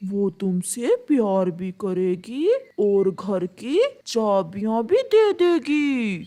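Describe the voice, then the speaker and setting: A woman voicing a calm, wise elder male owl healer who gives reassuring instructions in an animated moral story. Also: medium pitch, slow.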